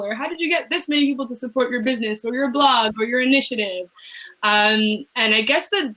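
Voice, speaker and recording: A woman's voice: on the high side, fairly bright tone, low-quality recording. A woman moderating a conversational panel as host, effusive and encouraging toward her guests.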